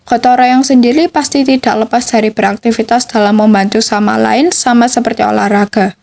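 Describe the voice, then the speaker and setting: High-voiced teenage podcast presenter, female. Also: fairly even intonation, fairly quick, gravelly, a little mellow. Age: teens, high school student. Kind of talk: scripted educational narration.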